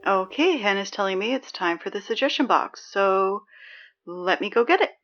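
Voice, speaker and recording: A woman's voice: medium pitch, animated, moderate pace, a bit bright, highs cut off. A woman playing a dry, sarcastic teenage girl who reads the school announcements in an audio drama. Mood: chatty.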